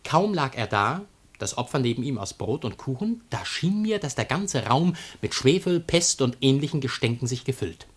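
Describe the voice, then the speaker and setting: An actor playing a cheeky, boastful slave in a classical comedy radio drama, telling tales with exuberant theatrical relish. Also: male voice, medium pitch, sing-song, moderate pace, breathy edge. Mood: comic, relishing.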